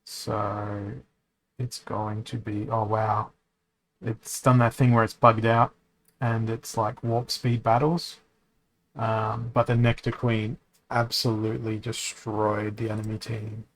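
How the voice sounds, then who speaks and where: Slow, gravelly-voiced man musing aloud. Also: on the low side, flat delivery, slight background noise, rough recording. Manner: thinking aloud, correcting himself, many hesitations. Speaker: game streamer. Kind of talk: casual commentary while playing a card game.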